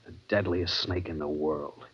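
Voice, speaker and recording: A dull vintage radio recording with loud music carries a hard-boiled American adventurer. His voice is low, and he tells his jungle tale in clipped, dramatic phrases with an impatient edge. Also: steady tone, measured pace. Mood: tense, awed. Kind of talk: 1940s radio drama acting, first-person narration.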